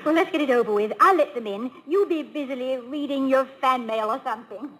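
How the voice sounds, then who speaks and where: An actress playing a poised, playful college president's wife in a 1950s radio comedy-drama, gracious and briskly instructive. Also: female voice, high voice, a bit bright. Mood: affectionate teasing. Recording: noisy old radio broadcast recording.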